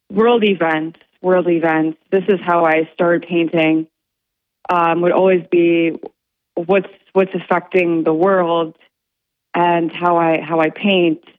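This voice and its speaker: A woman being interviewed as an artist on the radio, talking in a halting way with many fillers and restarts. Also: on the low side, no echo. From United States. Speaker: guest artist. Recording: dry, phone-like line.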